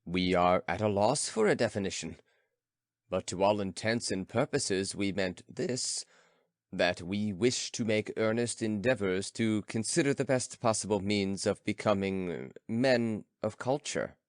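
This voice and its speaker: A man's voice: lowish voice, measured pace, no room sound, studio quality, natural voice. A man voicing a young male student who is polite, solemn and earnest, in an audiobook story. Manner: formal, earnest.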